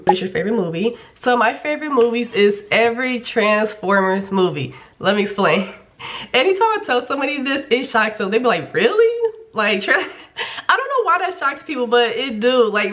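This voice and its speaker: A young woman in her twenties talks straight to her camera at home, loose and candid, dropping fillers and the odd swear word. Her voice sits at a middle pitch and is slightly stuffy from a cold. She comes across as relaxed and unscripted. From Kansas City, Kansas. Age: 20s. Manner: chatty and candid, casual swearing and frequent fillers. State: nasal from a recent cold. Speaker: vlogger. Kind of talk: casual get-to-know-me vlog.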